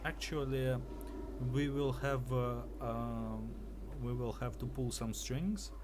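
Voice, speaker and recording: A man from Russia with a light Russian accent, a streamer chatting casually and joking in a deadpan, provocative way into his own microphone at home. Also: medium pitch, takes it slowly, noisy background. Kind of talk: casual chat on a game stream.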